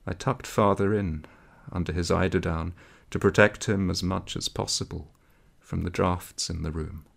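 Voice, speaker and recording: Man, audiobook reader, low creaky voice, dramatic literary narration. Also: steady tone, normal speed.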